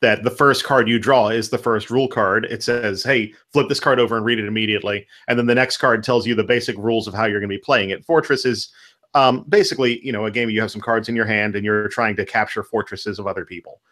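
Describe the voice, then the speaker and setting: A man's voice: lowish voice, fairly even intonation, fast, fairly bright tone. A man in his thirties eagerly explaining how a card game works in a casual video-call chat, laughing easily. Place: home room. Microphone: headphones with studio mic on a video call.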